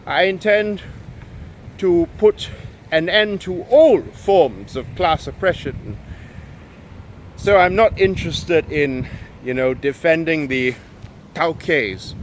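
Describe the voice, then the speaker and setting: Man, Malaysian Peranakan English accent, emphatic and wry monologue, higher pitch, clear street noise behind. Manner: emphatic, wry, with frequent restarts. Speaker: video essayist. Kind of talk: monologue to camera.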